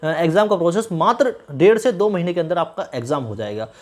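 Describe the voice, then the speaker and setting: A man talks at a quick clip, like a YouTuber briefing exam candidates. He explains things informally and gives instructive advice, often restating a phrase to drive it home. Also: fairly high pitch, animated, a little mellow. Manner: instructive, repeats phrases for emphasis.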